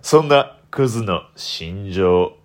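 A young man hosting a solo radio talk in a deliberately put-on deep, velvety voice, playful and self-mocking. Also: animated.

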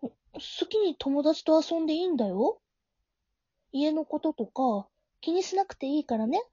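A woman playing a gentle, naive young woman, a doting childhood friend, in an amateur romantic audio drama, with a soft, sweet manner. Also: high-pitched, animated, moderate pace, no room sound. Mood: gentle, casual. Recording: dull, lo-fi home recording.

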